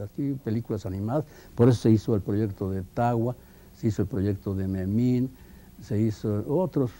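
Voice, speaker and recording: An elderly man, recalling past projects haltingly in an interview, repeating words as he goes. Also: fairly low pitch, gravelly, dark tone. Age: older, 70s or over. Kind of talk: reminiscing interview. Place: indoors, living room. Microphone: lapel.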